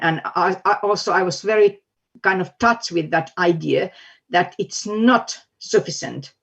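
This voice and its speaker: A woman's voice: low voice, breathy edge, highs cut off. A woman, a guest education expert in an online seminar, speaking earnestly with frequent restarts. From Finland. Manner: hesitant, many restarts, earnest.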